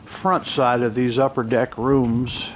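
A man of medium pitch narrates casually as he walks around outdoors with a handheld camera. His commentary is musing and observational, made up on the spot. Clear ambient noise sits behind his voice. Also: flat delivery, takes it slowly. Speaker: vlogger filming an exploration of an abandoned motel. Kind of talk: casual off-the-cuff walking narration. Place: outdoors, walking around a derelict motel lot. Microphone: handheld camera microphone, operator behind camera.

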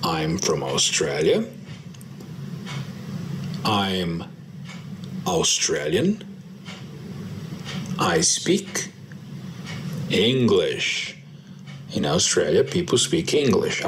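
A man with a Brazilian Portuguese accent teaching English, modelling short answers slowly and repeating them for practice. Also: low-pitched, big pitch swings, background noise. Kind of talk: slow drill practice lesson.

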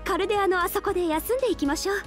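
A woman playing a devoted young half-oni samurai archer in a mobile game, speaking formal, reverent Japanese in a soft voice. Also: very high voice, very fast. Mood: cheerful, eager. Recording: studio voice over game music.

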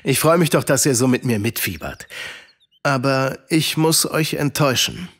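A man's voice: medium pitch, normal speed, a bit bright, slightly rough. A man playing a kindly, patient grown-up storyteller explaining things to children in an audio drama.

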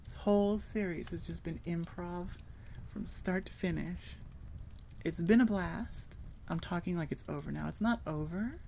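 Casual woman with a lowish voice. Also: measured pace, gravelly, dark tone, slight background noise. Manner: candid, rambling, informal. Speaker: ASMR voice actor and channel creator. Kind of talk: casual outro chat to listeners.

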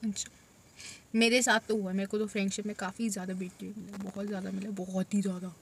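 A woman's voice: medium pitch, level tone, fast, creaky, breathy edge. A woman in her twenties chatting casually as a vlogger, reading out questions and laughing often, recorded in a parked car on a dashboard camera. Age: 20s. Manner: chatty, giggly, reads out questions. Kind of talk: casual Q&A chat. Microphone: camera phone on the dashboard.

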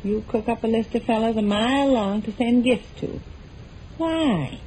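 Woman playing nagging sarcastic wife, high voice, folksy scolding, 1940s radio comedy, noisy old broadcast. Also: measured pace, dark tone, natural voice. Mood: exasperated.

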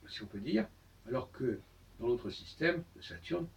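An older man talks at length to a camera set back across a room full of books, so his voice sounds somewhat distant. His pitch sits in the middle range. He explains his points slowly and patiently, stopping often to restart a phrase. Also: expressive, gravelly, a little hiss or hum. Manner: halting, explanatory, many pauses and restarts. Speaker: astrologer giving a talk. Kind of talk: solo lecture to camera. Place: book-lined room indoors. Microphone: far camera on a tripod.

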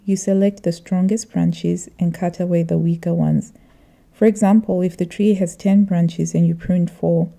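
A middle-aged woman narrating a reflective vlog voice-over in a measured, earnest way. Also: lowish voice, creaky, dark tone. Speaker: vlogger.

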